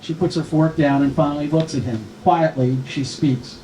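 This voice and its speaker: A man's voice: a little high, fairly even intonation, normal speed, mellow. A man reading scripted stage directions as narrator at a screenplay table read. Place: live film festival table read. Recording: echoey room, faint noise.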